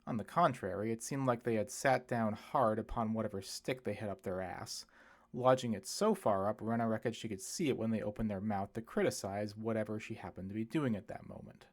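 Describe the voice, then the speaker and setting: A man's voice: fairly low pitch, brisk. Man narrating a novel. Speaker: audiobook reader. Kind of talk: scripted fiction narration.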